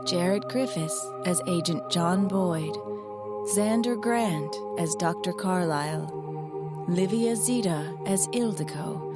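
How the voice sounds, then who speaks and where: A woman reading scripted closing credits as an announcer, measured and formal. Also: medium pitch. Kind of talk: scripted narration. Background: loud new-age music.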